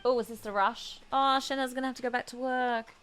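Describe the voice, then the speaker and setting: A woman's voice: fairly high pitch, steady tone, brisk, fairly bright tone, clear, resonant tone. A woman streaming a game playthrough, reacting casually and gushingly, repeating herself and talking back to the characters.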